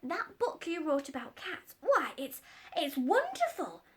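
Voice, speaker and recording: A woman's voice: high voice, wildly swooping pitch, measured pace, pitched above the performer's own voice, exaggerated voice. A woman voicing a chatty working-class man who sells cat meat, rambling in folksy, stumbling talk. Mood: eager, wheedling.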